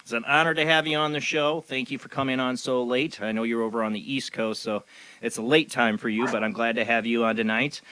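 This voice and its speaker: A man's voice: mid-range voice, steady tone, a little fast, pushed and bright, clean tone, dry recording, rough recording. A man hosting a paranormal radio talk show, asking rambling, hedging questions full of "you know"s. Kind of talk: casual interview hosting.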